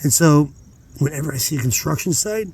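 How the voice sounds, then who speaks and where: Higher-pitched man, hesitant rambling vlogger. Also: animated, brisk, a little mellow, slight background noise. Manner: hesitant, meandering, self-interrupting. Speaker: channel host. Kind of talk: casual rambling outdoor vlog talk. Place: outdoors at night, rockhounding.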